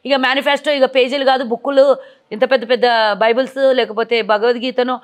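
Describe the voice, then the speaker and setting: A woman's voice: high-pitched, steady tone, fast. A woman, a party politician giving combative interview answers full of rhetorical questions, recorded outdoors on a lapel mic.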